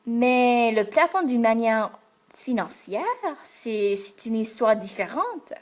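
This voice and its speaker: A young American woman from Texas, a French learner speaking to the camera in a careful, hesitant way with many pauses and fillers. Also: a little high, lively intonation. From Texas, United States. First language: English. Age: young adult. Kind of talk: casual talk to camera. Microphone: camera in front of her.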